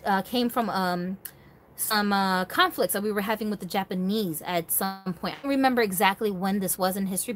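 Emphatic woman vlogger chatting casually. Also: medium pitch. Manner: emphatic, conversational, seeks agreement with rhetorical questions. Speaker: host of a doll collectors' channel. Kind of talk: casual opinionated vlog talk to viewers.

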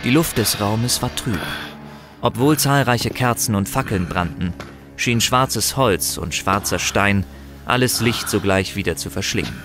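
Narrator for fantasy audio drama: man, lowish voice, grave and dramatic storytelling, clear music bed underneath. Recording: music behind the voice.

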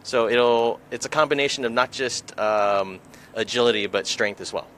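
A man's voice: medium pitch, normal speed, a bit bright, slight background noise. A man organizing an event, answering interview questions casually and hesitantly, with many ums and uhs.

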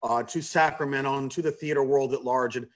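A man's voice: mid-range voice, fairly even intonation, fairly quick. A man giving an informal online presentation on a video call, hesitant, with frequent ums and restarts. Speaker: theater staffer presenting subscription details.

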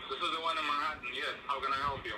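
Higher-voiced man on speakerphone. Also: flat delivery, rapid-fire, background noise. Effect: phone speaker. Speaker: phone worker taking calls. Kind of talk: customer service phone talk. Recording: distant speakerphone, loud music.

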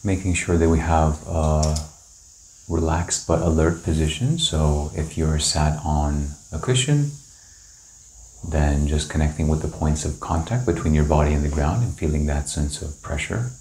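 A man leading a guided meditation, giving calm, gentle, unhurried instructions with long pauses. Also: extremely low pitch. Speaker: meditation teacher. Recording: roomy, echoing indoor sound.

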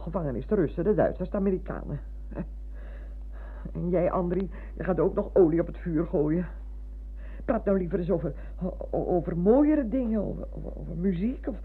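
An actress playing an exasperated, pleading wife who soothes quarrelling men in halting, repetitive phrases, in a Dutch radio drama. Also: female voice, lowish voice, husky. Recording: hissy, humming, phone-like radio recording.

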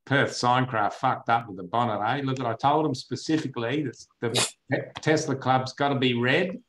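A man with a mid-pitched voice banters casually and bluntly, with a loose, sweary, joking delivery. There is faint noise and clicking behind him. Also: moderate pace.

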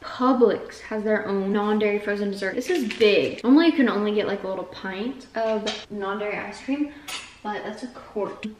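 A young woman with a light lilt rambles cheerfully about her groceries, with plenty of fillers and self-mocking asides. She is picked up by a camera set back on a kitchen counter, so her voice sounds distant and roomy. A steady hiss sits under it. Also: medium pitch, normal speed. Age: young adult. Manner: chatty, rambling, self-deprecating with lots of "like" fillers. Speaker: vlogger. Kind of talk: casual food vlog chat. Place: home kitchen, indoors. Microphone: camera on the counter, some distance away.

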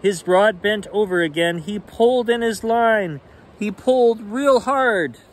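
A man in his forties reading a children's picture book aloud expressively, in simple, deliberate phrasing. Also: high voice. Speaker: storyteller reading children's bedtime stories. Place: outdoors on a rainy forested shore. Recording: outdoor ambient noise.

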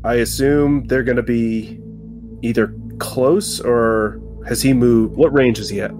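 A man's voice: medium pitch, a little slow. A man chatting casually as a player in a tabletop game, joking and swearing. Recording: background music under the voice.

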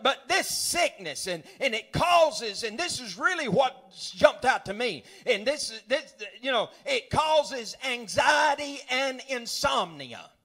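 A middle-aged preacher man with a strikingly high-pitched voice. He talks in a folksy, rambling way, repeating words as he gathers steam, and scatters hallelujahs and amens throughout as he builds to shouting. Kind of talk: sermon.

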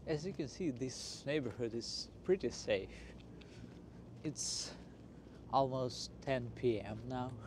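A man hosting a walking tour in a casual, unscripted way, speaking in halting, broken phrases outdoors on a city street. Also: mid-range voice, expressive. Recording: noisy outdoor street with traffic. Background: street ambience and passing vehicles.

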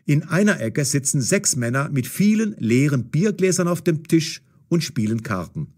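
Expressive male storyteller, animated intonation. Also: fairly high pitch, normal speed. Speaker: narrator. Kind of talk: scripted story narration for language learners.

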